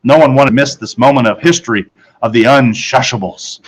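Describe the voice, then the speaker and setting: A man reading a children's story as expressive scripted narration, dramatic and with deliberate pauses. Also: medium pitch, slight background noise, low-quality recording. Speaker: audiobook narrator.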